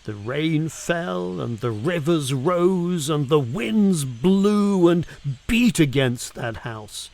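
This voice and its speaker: Slow, deliberate man reading scripture. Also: on the high side, animated, vocal fry, a little mellow. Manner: measured, deliberate, emphatic with pauses. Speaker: reader of scripture.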